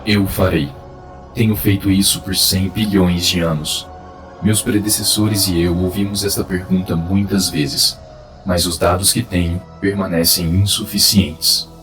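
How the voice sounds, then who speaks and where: A man playing an ancient, patient cosmic supercomputer with a measured, impassive, formal delivery. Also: sits low, fairly even intonation, over music. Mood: calm, impassive.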